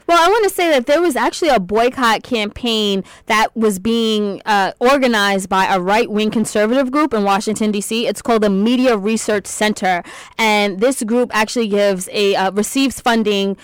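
A woman hosting a panel discussion, speaking in a measured way and steering the conversation. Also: mid-range voice, smooth, dry recording. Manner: measured, moderating, with "uh" fillers. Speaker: host.

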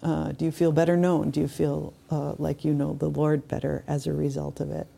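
Low, creaky-voiced woman, reflective. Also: flat delivery, brisk, dark tone. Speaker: podcast co-host. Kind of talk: casual reflective discussion.

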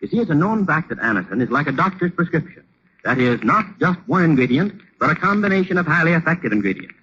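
Bright-toned vintage American radio announcer. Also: male voice, fairly high pitch, sing-song, fast talker, breathy edge. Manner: earnest, persuasive. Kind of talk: 1940s radio advertisement, scripted. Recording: dull, noisy old radio recording.

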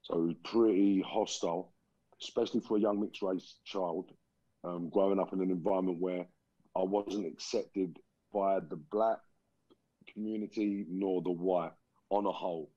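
Man in his 40s, very low mellow voice, dry dull call audio, measured and reflective. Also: steady tone, dry recording. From England. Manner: slow, reflective, many pauses and ums. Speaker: guest. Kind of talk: life story interview.

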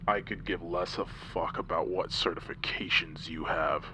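A man's voice: deep voice, fairly even intonation, moderate pace, bright, forceful tone, a little hiss or hum. A man playing a cocky, abrasive military captain in a sci-fi audio drama, with a swaggering, combative manner. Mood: aggressive.

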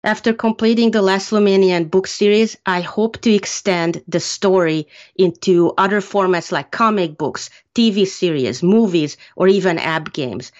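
A woman from Hungary, an author answering interview questions in a measured, earnest way. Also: mid-range voice. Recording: muffled lo-fi sound.

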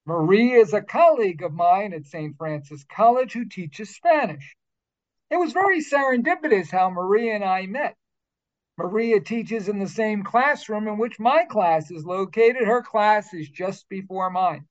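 A man's voice: high-pitched, lively intonation, a little slow, dull audio. A man hosting a talk show, speaking in a formal, upbeat, carefully enunciated way. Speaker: radio show host. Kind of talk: scripted show introduction and interview.

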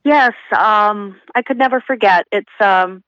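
A woman in her twenties from Uzbekistan, a guest speaking thoughtfully from home, pausing with fillers. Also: mid-range voice, fairly bright tone, no room sound, dull audio. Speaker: guest, accounting professional. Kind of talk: casual remote interview. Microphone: remote call from home.

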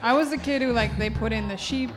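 A woman's voice: on the high side, brisk, music in the background. A woman co-hosting a radio show, asking short encouraging questions. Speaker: radio co-host. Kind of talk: casual radio interview. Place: storefront music bar.

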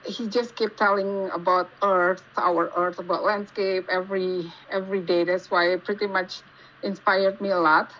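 A woman with an Indonesian accent giving casual interview answers, haltingly searching for words as she goes. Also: medium pitch, level tone, a bit bright. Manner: halting, searching for words in non-native English. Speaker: podcast guest, geologist. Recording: dull lo-fi call with faint noise.

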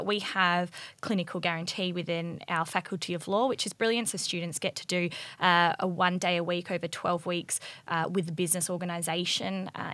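A woman's voice: on the low side, fairly bright tone, dry recording. A woman hosting a university law podcast, upbeat and encouraging. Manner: upbeat, encouraging, uses fillers. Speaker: university law faculty host. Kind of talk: podcast interview, casual chat.

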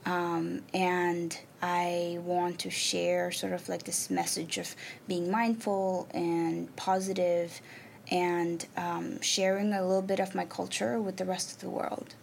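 A young woman from Nepal, a native Nepali speaker, answering interview questions about her art in a thoughtful way, with many ums and pauses. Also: lowish voice, level tone, gravelly. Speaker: artist and arts program manager. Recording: faint background noise.

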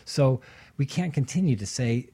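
Man guest, husky, earnest advocate on talk radio. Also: medium pitch, animated, moderate pace, warm tone. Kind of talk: casual radio talk show discussion.

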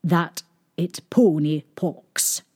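A woman acts out a crafty fake doctor from a children's fairy tale. He feigns grave, knowing expertise and spins out his lines slowly and deliberately. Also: sits low, fairly even intonation, mellow. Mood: grave, hushed.

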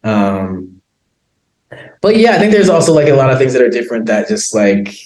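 An easygoing American man talks in a relaxed, rambling way, full of ums and little laughs. His voice sits at an ordinary pitch for a man. The room around him gives it a noticeable echo. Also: moderate pace. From United States. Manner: chatty, laughs often, many fillers. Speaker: interview guest. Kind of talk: casual conversation.

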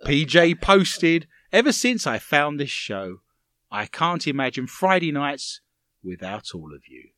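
British male host, animated and slightly high-pitched, for comic banter and listener messages in a dry studio. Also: measured pace, no echo. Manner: teasing, dryly jokey. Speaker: radio show host.

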